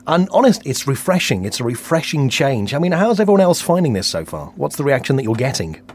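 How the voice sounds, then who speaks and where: A man's voice: medium pitch, big pitch swings, a little fast, a little mellow. A man hosting a radio interview, chatting and asking questions in an encouraging way. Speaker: radio host. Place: radio studio.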